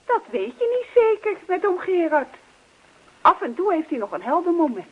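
An actress playing a nagging, neglected wife in a radio play, calling out with persistent, reproachful questions. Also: female voice, very high voice, big pitch swings, normal speed. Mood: insistent, indignant. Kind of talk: Dutch radio play acting. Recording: dull lo-fi old radio recording.

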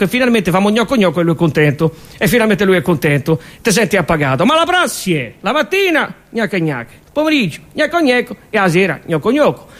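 A man with a Roman accent performing a comic stage monologue full of absurd, rhythmic nonsense chanting. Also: high voice.

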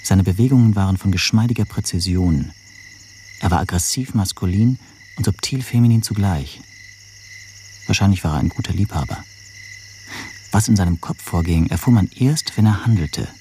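A low-voiced man narrates a radio play as an inner monologue. He is anxious, deliberate and scheming, brooding over every suspicion. Faint rain and noise sit behind the voice. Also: mellow. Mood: measured, brooding.

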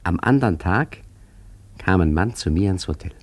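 A man's voice: sits low, expressive, moderate pace, a little mellow. An actor in a radio play playing an urbane art forger who tells his story in a chatty, wry, self-satisfied way. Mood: dry, amused.

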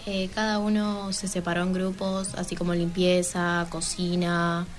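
Teenage female student guest, Buenos Aires Argentine accent, slightly low, little pitch movement, informal interview answers. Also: monotone, measured pace, faint music behind. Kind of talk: casual radio interview answers.

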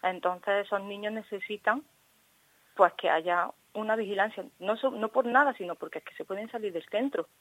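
A woman's voice: medium pitch, moderate pace, no echo, rough recording. A woman speaking spontaneously in a phone interview, earnest and insistent, often repeating herself. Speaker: school parents' association member interviewed by phone.

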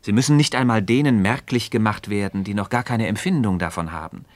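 An actor playing a learned, earnest and gently ironic Freemason friend who teaches in a measured, rhetorical way in a radio drama. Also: male voice, lowish voice, no echo, natural voice. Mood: earnest, explaining. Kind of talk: scripted philosophical dialogue in German radio drama.

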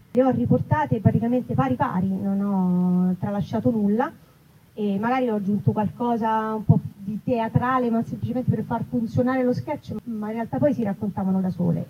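A woman chatting casually as an interview guest about her own work, in a self-deprecating, digressive way. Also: fairly high pitch. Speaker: actress and author, interview guest. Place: live festival event in an olive grove. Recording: dull, noisy live event recording with clicks.

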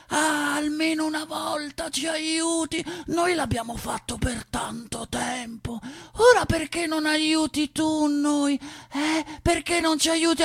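A woman's voice: sits high, vocal fry, exaggerated voice. A woman acting a mocking, cruel inner voice that accuses insistently and repetitively in a theatrical radio monologue. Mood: pleading. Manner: insistent, repetitive, swinging from whisper to shout.

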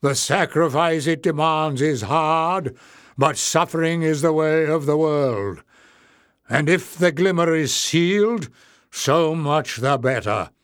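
A man voicing an angry, suspicious and stubborn male leader of an underground folk in a storybook, sneering and forceful in manner. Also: a little high, slow pace, smooth, dry recording, clean studio sound, pitched above the performer's own voice. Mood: grim, unyielding. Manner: sneering, shouting in anger.

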